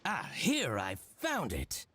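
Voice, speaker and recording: A man's voice: on the high side, wildly swooping pitch, slow pace, sharp tone, husky, full character voice. An actor playing a scheming, spiteful, theatrical male shop owner in a video game, exclaiming and drawing out words. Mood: gloating.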